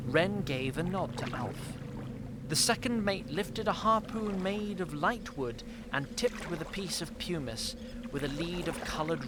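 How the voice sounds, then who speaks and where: A man narrating a scripted fantasy audio drama in a measured storytelling manner. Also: medium pitch, very noisy.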